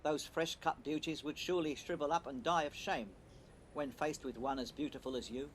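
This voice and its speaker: An actor playing a shy, apologetic young romantic in an audio play, with a hesitant, awkward way of talking. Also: male voice, on the high side, fairly even intonation, moderate pace, slight background noise, lightly acted. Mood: earnest recital.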